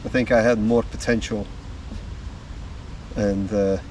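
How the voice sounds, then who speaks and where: A man, talking outdoors in the woods as a drumming vlogger, easygoing and unhurried. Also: on the low side, flat delivery, darkish tone, a little hiss or hum. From Slovakia. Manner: slow, easygoing storytelling with small pauses. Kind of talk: casual self-introduction to camera. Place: outdoors in woodland. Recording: distant mic with faint music behind.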